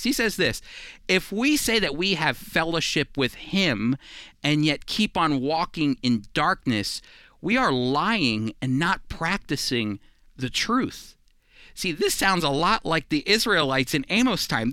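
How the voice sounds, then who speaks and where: A man hosts a radio Bible program, teaching in an earnest, sermon-like way. His voice is bright and forceful and sits a little high. He stresses key words emphatically while staying conversational, and the sound is close and dry. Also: big pitch swings, a little slow, no echo.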